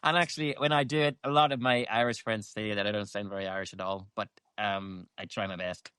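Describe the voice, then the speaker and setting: A man's voice: lowish voice, fairly even intonation, fairly quick, a bit bright, smooth, no echo. A man co-hosting a podcast, bashful and hesitant, laughing often. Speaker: podcast co-host. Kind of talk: casual podcast chat.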